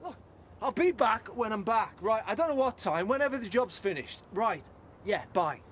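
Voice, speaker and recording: A stressed, stubborn male engineer in a drama film. His voice is high and swings widely, curt and defensive, with some vehicle noise around him. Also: expressive, normal speed. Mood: irritated, defensive. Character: stressed, stubborn working husband and van-driving engineer. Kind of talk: naturalistic film drama dialogue.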